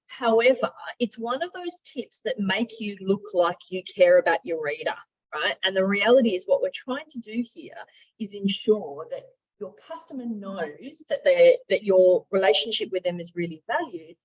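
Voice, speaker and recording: A woman giving an informal, instructional software demo as a trainer, talking in a conversational, emphatic way. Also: medium pitch, animated, normal speed, breathy edge, lo-fi sound. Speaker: software trainer, marketing director.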